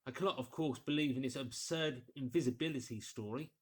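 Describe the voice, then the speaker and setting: A man's voice: mid-range voice, fairly quick, lightly acted. A man voicing a mild, skeptical village vicar in an audiobook, musing in a genteel, fussy way.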